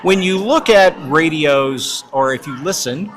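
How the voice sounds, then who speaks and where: A man with a somewhat high voice hosts a podcast interview. He puts questions and comments to his guest in a conversational style. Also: animated, measured pace, a crowd in the background. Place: podcast booth at a conference.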